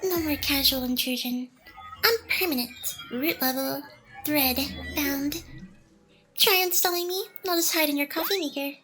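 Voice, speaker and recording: A woman playing a playful, possessive female AI hacker in love, in an intimate ASMR roleplay with breathy sighs and soft teasing. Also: high-pitched, normal speed, music in the background, lightly acted, rough recording. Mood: tender, possessive.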